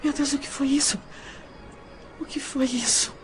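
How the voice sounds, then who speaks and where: Actress doing distraught young brazilian woman, high voice, audio drama, really emotional. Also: steady tone, normal speed, music in the background, close to an everyday voice. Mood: distraught, bewildered.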